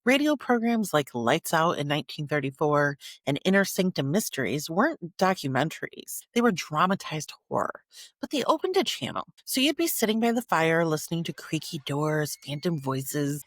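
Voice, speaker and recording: A woman podcast host with a lowish voice and very animated intonation. She tells her story conversationally and with earnest emphasis, in a dry, close sound. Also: no room sound.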